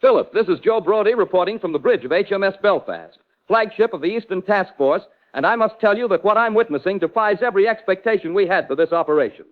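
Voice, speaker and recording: Shaken 1940s American war reporter, male actor: high voice, urgent, dramatic, thin phone-like radio transmission. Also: animated, fast talker. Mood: awed, urgent. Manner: urgent, dramatic, florid eyewitness delivery. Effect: radio transmission filter. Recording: tinny, narrow wartime radio link.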